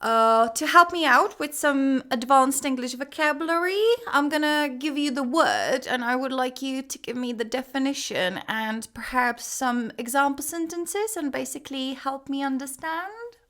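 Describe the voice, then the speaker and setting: A woman's voice: sits high, expressive, normal speed, few pauses, bright, forceful tone. A woman hosting an English lesson in casual chat, a bit stuffed up with a sore throat, affectionate and chatty. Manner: affectionate, chatty, many fillers. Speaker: English teacher, channel host.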